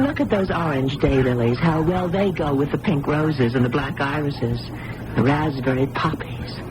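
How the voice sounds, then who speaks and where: Emotional young woman, animated, noisy radio drama. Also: sits low. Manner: expressive, dramatic. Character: emotional young amnesiac blind woman, earnest and trusting. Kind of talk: old-time radio drama acting. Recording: noisy old radio broadcast.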